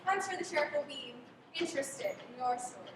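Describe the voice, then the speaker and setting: Actress doing stubborn skeptical young woman in a radio play, super high voice, lots of echo. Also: a little fast, natural voice. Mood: mocking, skeptical. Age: young adult. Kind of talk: live radio play acting. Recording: echoey, noisy livestream, distant mic.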